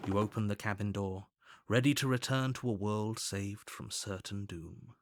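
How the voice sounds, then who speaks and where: A man playing a smug, controlling narrator spirit in a storybook game, speaking deliberately and theatrically with condescending, ominous placidity. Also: fairly low pitch, no echo, studio quality, natural voice. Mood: ominous, measured.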